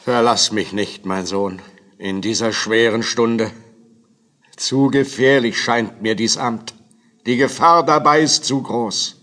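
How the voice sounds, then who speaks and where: A man playing a gravely ill old king and anxious, loving father in a fairy-tale radio play, his delivery weak and laboured. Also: mid-range voice, slow pace, fairly bright tone. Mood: pleading, anxious. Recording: long reverberant hall echo.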